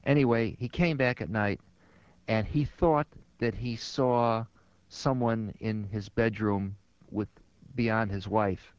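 A man's voice: lowish voice, fairly even intonation, slow, clear, resonant tone. An older man from Chicago, a guest sports writer, telling stories in conversation with many fillers and restarts. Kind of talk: conversational storytelling. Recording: dull lo-fi radio sound.

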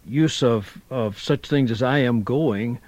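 A male linguistics professor, a guest on talk radio, who explains in a halting, scholarly way with examples. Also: medium pitch, a little mellow, no echo. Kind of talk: radio interview. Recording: dull 1990s radio broadcast.

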